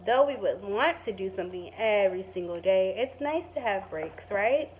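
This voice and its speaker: A woman teacher reading a story aloud to young children in an expressive, dramatic and emphatic way. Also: mid-range voice, normal speed, slight background noise.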